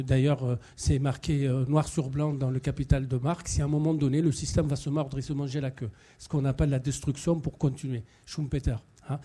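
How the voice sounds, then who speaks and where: Male public speaker from Morocco, very dark mellow tone, slightly high pitch, halting unscripted activist delivery. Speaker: food anthropologist and chef, speaker at a public event. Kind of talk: impromptu public talk, activist.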